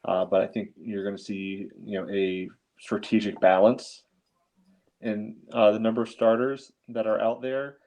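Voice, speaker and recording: Guy with a low mellow voice doing matter of fact sports talk on a podcast. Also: level tone, normal speed. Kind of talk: casual sports analysis.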